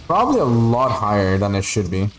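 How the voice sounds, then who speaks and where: A man's voice: lowish voice, big pitch swings, a little fast. A man whose first language is Spanish, giving casual, rambling gaming livestream commentary with playful, sweary grumbling. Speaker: game streamer.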